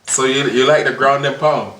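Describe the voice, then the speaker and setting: A man hosts a loose late-night style chat, firing teasing questions and often stumbling into laughter. His voice is bright and forceful, but he sits a little back from the mic. The room is echoey, with a faint hiss behind him. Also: mid-range voice, fairly even intonation, normal speed, far from the mic. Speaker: talk show host. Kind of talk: casual interview chat.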